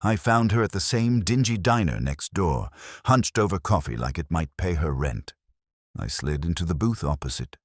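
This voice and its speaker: A man narrates a scripted detective tale with a wry, hard-boiled edge. His voice is exceptionally deep, and his pitch moves in an animated way from phrase to phrase. The sound is dry, with hardly any room to it. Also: normal speed, dry recording. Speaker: audiobook narrator. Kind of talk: scripted first-person detective story narration.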